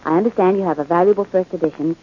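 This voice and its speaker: A woman's voice: lowish voice, rapid-fire, breathy edge. An actress playing a spirited, sweetly cheeky young woman, chatty and emphatic, in an old radio drama. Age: young adult. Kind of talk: 1940s radio drama acting. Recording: muffled old radio recording.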